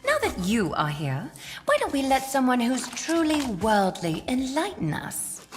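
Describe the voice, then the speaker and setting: Poised teasing lady, lively intonation. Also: high voice, a little slow, full character voice. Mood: playful. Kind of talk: video game voice acting. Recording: long reverb, clear music behind.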